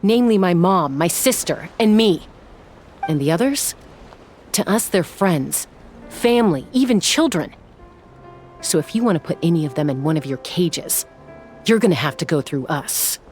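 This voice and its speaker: An actress playing a defiant, principled young heroine in a video game, speaking in a firm, blunt, challenging way. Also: female voice, on the low side, animated, moderate pace, long pauses, darkish tone, soft background music, natural voice. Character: defiant, principled young heroine loyal to her beast kin. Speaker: game character voice actor. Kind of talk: dramatic video game voice acting.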